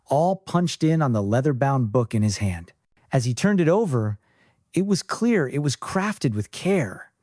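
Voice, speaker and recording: Man narrating fiction with lively pitch. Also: no echo, studio quality. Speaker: audiobook narrator.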